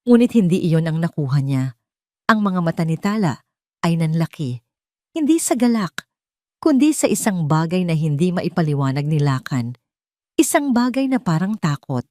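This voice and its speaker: A woman reading a scripted dramatic story as narrator, in a measured, suspenseful way. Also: low voice, sing-song, darkish tone, dry recording, studio quality. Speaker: narrator of audio drama stories.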